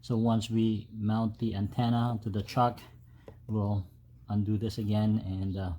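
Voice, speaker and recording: A man giving a casual, unscripted step-by-step tutorial as a DIY host, with halting delivery. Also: lowish voice, level tone, warm tone. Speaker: host of a DIY truck modification video. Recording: clear background noise, ambient sound.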